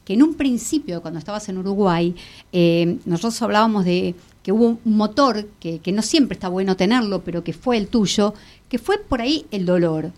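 A woman with a Rioplatense Spanish accent, co-hosting a live-streamed interview, chatty and quick to cut in. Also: mid-range voice, lively intonation, darkish tone. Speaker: co-host.

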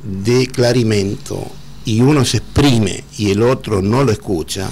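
A middle-aged man with an Argentine Spanish accent, speaking earnestly as an interview guest, often pausing and repeating words. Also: lowish voice, a little mellow. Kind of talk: emotional conversational interview.

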